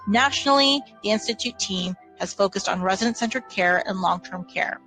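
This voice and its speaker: A woman narrating a scripted award video with an even, formal read. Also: mid-range voice, a little fast. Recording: soft music behind.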